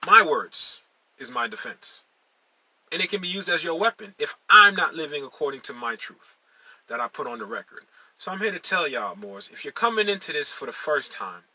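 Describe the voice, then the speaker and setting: A man's voice: fairly high pitch, pushed and bright, husky, no echo, rough recording. A man from Maryland giving an instructional monologue to his followers. He talks emphatically, repeats key phrases and calls his listeners y'all. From United States, Maryland. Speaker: teacher addressing followers.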